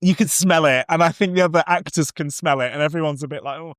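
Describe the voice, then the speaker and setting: A man's voice: on the high side, quick pace, no echo, clean studio sound. A man chatting casually as a podcast host about film and TV, enthusiastic, rambling and prone to swearing.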